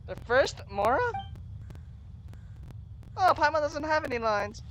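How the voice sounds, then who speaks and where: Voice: high-pitched, expressive, measured pace, a bit bright, a little hiss or hum, poor audio. A player giving casual, joking commentary over a video game. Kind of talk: casual let's-play commentary.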